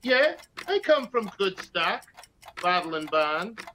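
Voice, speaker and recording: An actor playing a falsely friendly, jovial nightclub-owning crook with drawn-out talk in a radio play. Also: male voice, high-pitched, big pitch swings, pushed and bright, slight background noise, full character voice. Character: smooth-talking, jovial male nightclub-owner crook. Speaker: actor in live radio theatre. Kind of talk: vintage radio play acting.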